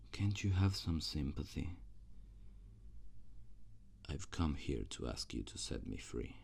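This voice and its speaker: Man voicing a very deep, gravelly, sardonic demon. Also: darkish tone, full character voice. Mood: weary, pleading. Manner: slow, dry, intimate, sardonic. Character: reluctant male demon guardian, jaded, sardonic, gleefully cruel. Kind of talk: ASMR roleplay.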